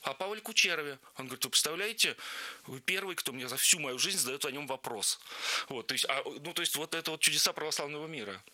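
Quick, bright-voiced man telling stories. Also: a little high, expressive. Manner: rambling anecdotes with many fillers. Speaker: guest, producer of Orthodox projects. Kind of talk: radio interview, storytelling.

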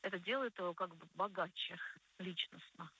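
A woman speaking as a guest psychologist on a radio show, explaining conversationally and deliberately, stressing key words. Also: on the low side, pushed and bright, husky, low-quality recording. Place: radio studio.

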